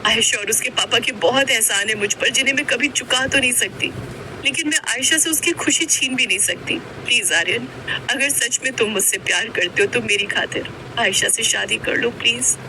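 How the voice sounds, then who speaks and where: A woman's voice: on the high side, normal speed, background noise, natural voice. A woman voicing a sincere, dutiful college girl from a poor family, in a cartoon story style. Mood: pleading. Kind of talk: Hindi cartoon story voice acting.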